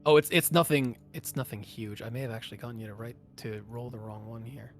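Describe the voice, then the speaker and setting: A man running a tabletop game as game master, narrating the action casually and thinking aloud in halting phrases. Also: mid-range voice, steady tone, faint music behind.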